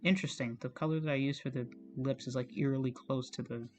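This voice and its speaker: A chatty streamer talks loosely while drawing, voice slightly strained and scratchy, self-conscious and quick to laugh and swear. Middling pitch. Faint music sits softly behind the talk. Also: fairly even intonation. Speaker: digital artist streaming. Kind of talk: casual rambling commentary while drawing.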